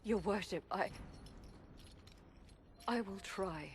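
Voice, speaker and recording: A stoic soldier woman voiced by an actress in a fantasy game, broken by remorse. Her mid-pitched voice is grave and formal, over faint background noise. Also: slow, lightly acted. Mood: anguished, remorseful. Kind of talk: video game dramatic acting.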